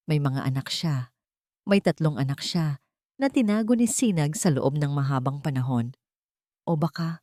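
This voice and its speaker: Low-voiced woman narrating a drama. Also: no room sound, studio quality. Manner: dramatic, expressive storytelling. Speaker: storyteller. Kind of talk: scripted audio-drama narration.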